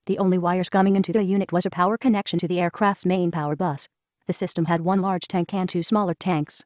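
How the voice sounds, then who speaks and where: A woman reading a first-person letter aloud in a brisk, even manner. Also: lowish voice, dry recording. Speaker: reader of a whistleblower letter. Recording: dull lo-fi voice track.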